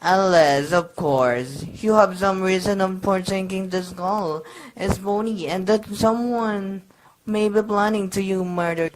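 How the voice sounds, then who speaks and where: A woman acting as a formal phone official, businesslike, unruffled, mid-pitched, radio play, faint noise and clicks. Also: slow pace, close to an everyday voice, poor audio. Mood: brisk, dismissive. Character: brisk, official, unruffled telephone public-service worker.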